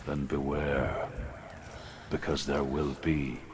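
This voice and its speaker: An actor voicing a grim old storyteller in a video game, solemn and ominous as he tells dark legends. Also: a bass voice, little pitch movement, takes it slowly, background noise. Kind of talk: dramatic scripted storytelling.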